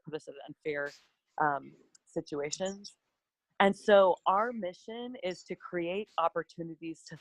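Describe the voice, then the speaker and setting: A woman's voice: deep voice, no echo, dull audio. A woman who directs a charity foundation, presenting on a conference call in an earnest way, with many fillers and restarts.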